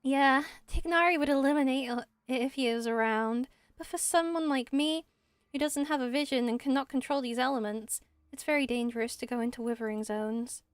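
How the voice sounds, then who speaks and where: A woman's voice: high voice, brisk, clear, resonant tone, dry recording, clean studio sound, lightly acted. A woman voicing an earnest, dutiful young female forest ranger in a fantasy game, explaining things carefully. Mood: cautious, worried.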